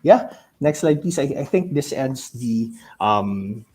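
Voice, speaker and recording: A man with a Filipino accent giving a business presentation to investors in a halting way, often tagging phrases with "no?". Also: medium pitch, expressive, a little mellow. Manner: halting, ends phrases with a tag "no?". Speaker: company investor relations presenter. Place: virtual call.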